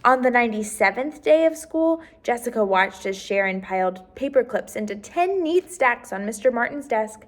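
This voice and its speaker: A woman with a fairly high voice reads a children's storybook aloud. She narrates expressively, as a storyteller who draws young listeners in with questions. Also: animated, normal speed, few pauses, soft background music. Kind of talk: children's picture book read-aloud.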